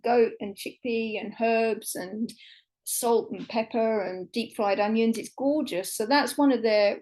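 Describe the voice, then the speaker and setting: A woman's voice: a little high, a little mellow, poor audio. Chatty woman guest. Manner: chatty and enthusiastic, many "um" fillers. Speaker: travel guidebook writer, guest. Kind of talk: conversational podcast interview.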